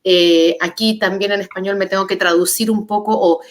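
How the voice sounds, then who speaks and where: Woman, Chilean accent, panel author, reflective discussion, thoughtful searching delivery, medium pitch. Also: fairly even intonation, normal speed.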